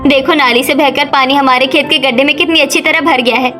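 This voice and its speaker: Woman acting a bright, high-voiced elder daughter-in-law. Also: fairly even intonation, brisk, faint music behind. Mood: delighted. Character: sensible, encouraging elder village daughter-in-law. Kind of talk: Hindi cartoon story acting.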